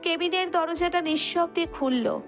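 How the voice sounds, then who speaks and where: A woman's voice: extremely high pitch, measured pace, fairly bright tone, faint music behind. A woman narrator reading a romance story as dramatic scripted narration.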